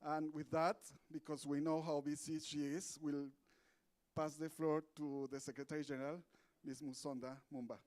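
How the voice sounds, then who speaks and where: A man's voice: on the high side, level tone, normal speed, darkish tone. A man moderating a conference side event, friendly and loose, with fillers and restarts. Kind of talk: meeting moderation. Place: conference room.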